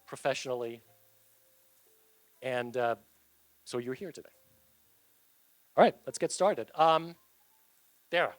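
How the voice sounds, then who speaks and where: A man's voice: mid-range voice, no room sound. A man moderating a live panel discussion at an event, upbeat and loose, joking with the audience between questions.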